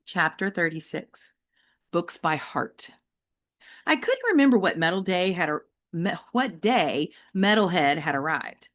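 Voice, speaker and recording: Animated woman reading a children's story. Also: lowish voice, a little slow. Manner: animated, dramatic storyteller delivery with varied pacing. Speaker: teacher reading a novel aloud. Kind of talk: expressive children's audiobook narration.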